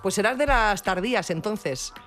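A woman hosting a radio show, chatting quickly and playfully with a co-host and a caller, with a slight Navarrese Spanish accent. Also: mid-range voice, expressive, loud music behind the voice. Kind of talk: casual on-air chat. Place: radio studio.

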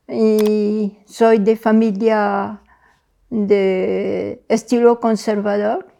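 Older French-accented woman, slow and gravelly. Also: mid-range voice, warm tone. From France. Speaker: interview guest. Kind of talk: reminiscing in conversation.

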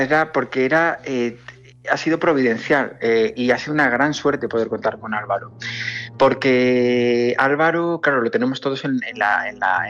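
A film director from Andalusia answers interview questions in a mid-pitched voice. He talks thoughtfully and often restarts his sentences. The sound is dull and lo-fi, with faint music underneath. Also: fairly bright tone. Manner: thoughtful, with frequent restarts and fillers.

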